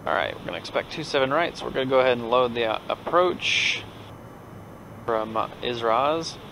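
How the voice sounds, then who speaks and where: A man's voice: mid-range voice, normal speed, background noise. A man with an American accent, a pilot talking casually and making radio calls through a headset while flying. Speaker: pilot narrating a flight. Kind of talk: casual cockpit vlog mixed with clipped radio calls. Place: cockpit of a small turboprop plane in flight. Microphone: aviation headset. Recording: steady aircraft drone behind headset audio.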